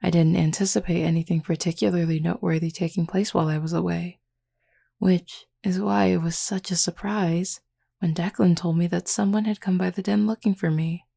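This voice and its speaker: Woman performing a flirty werewolf woman, very heavy vocal fry, dark low-key tone, smug and teasing, intimate audio roleplay. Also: fairly even intonation, fairly quick. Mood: sly, teasing. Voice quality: husky, gravelly. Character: flirty, teasing, confident adult female werewolf pack member.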